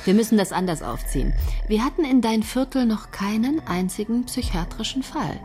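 An actress playing a bossy, brisk woman who leads a soap opera writing team in a radio play. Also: on the high side, lively intonation, music in the background, natural voice.

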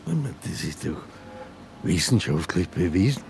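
An actor playing a grumbling, skeptical hostage in a radio play, with a dry, incredulous manner and an Austrian accent. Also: male voice, low-pitched, very animated, slow, gravelly, darkish tone, a little hiss or hum, full character voice. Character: skeptical, grumbling male hostage, a sound engineer. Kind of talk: contemporary radio play acting.

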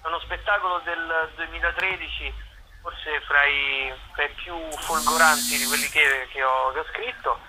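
A man's voice: on the high side, steady tone, moderate pace. A man, a comedian, talking reflectively about his own work in an interview. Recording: faint background noise.

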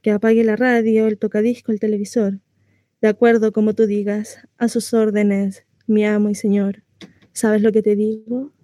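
A woman's voice: medium pitch, level tone, normal speed, dark tone, no echo, studio quality, natural voice. A woman acting a chatty, naive, mistreated housewife in a comic stage monologue, confessional, rambling and often interrupting herself. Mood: sarcastic, irritated. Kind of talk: theatrical comic monologue addressed to a listener.

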